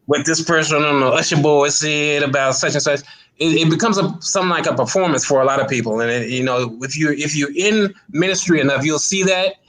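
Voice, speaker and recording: A man speaking as an interview guest, casual and thoughtful, explaining his view in a measured way. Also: fairly high pitch, fairly bright tone, low-quality recording. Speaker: stage play cast guest.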